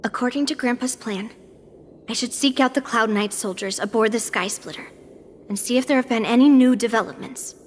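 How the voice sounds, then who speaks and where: A woman's voice: a little high, fairly quick, big-room sound, slight background noise, natural voice. A woman voicing a confident, bold young girl sword warrior in an anime-style game. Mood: businesslike. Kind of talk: English anime game voice acting.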